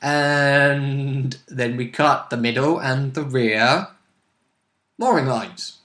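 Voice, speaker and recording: A man's voice: mid-range voice, extremely slow, fairly bright tone. A British man chatting casually about a game session as a player, jokey and sweary, often quoting characters.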